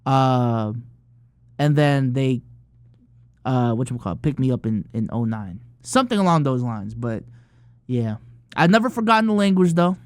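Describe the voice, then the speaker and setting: A man's voice: medium pitch, clear, resonant tone, dry recording, clean studio sound. A man in his early twenties from the Philippines, chatting casually on a livestream and answering viewer questions in a loose, profane way full of fillers. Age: early 20s. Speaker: streamer.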